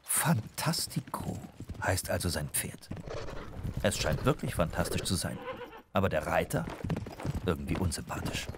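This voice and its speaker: A man narrating a children's audio drama from a script in an expressive storytelling manner. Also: low voice, unhurried, background noise.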